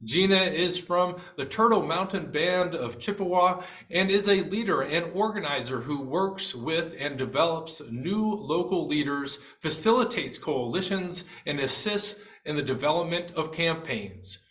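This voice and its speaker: Man moderator, bright and somewhat high voice, formal intros, roomy echo. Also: lively intonation, unhurried, hardly any pauses. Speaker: panel moderator. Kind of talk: reading introductions.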